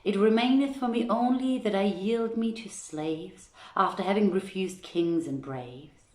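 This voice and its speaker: A woman's voice: medium pitch, measured pace, lightly acted. A woman voicing a proud, defiant young princess from an Arabian storybook tale, declaiming archaic lines emphatically in a roomy space. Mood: anguished, indignant. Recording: roomy home livestream echo.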